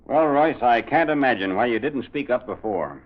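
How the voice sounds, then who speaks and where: A man's voice: mid-range voice, expressive, normal speed, lightly acted. An actor playing a puzzled male investigator in an old radio mystery, questioning with emphasis. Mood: sober. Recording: dull old radio recording.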